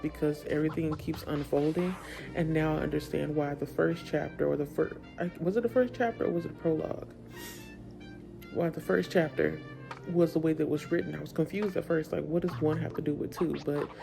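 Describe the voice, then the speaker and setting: A woman's voice: sits low, creaky. A woman chatting casually about her reading, rambling and correcting herself as she goes, congested and tired. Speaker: book vlogger. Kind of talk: casual reading update chat.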